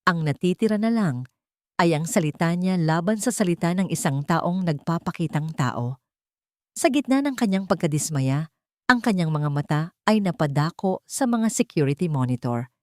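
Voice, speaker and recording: Female storyteller, lower register, close dry sound, solemn dramatic scripted narration. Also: expressive, normal speed, a little mellow, no room sound.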